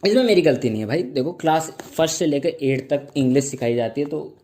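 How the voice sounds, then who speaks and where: A young man performing a stand-up comedy set, telling stories with slow mock-grand stretches and playful sound effects. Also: fairly high pitch, lively intonation, heavy background noise.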